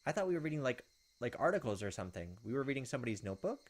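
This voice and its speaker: A man's voice: medium pitch, sing-song, very fast. A man reading visual novel text aloud as a let's player, with casual asides. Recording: game music behind the voice. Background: video game music.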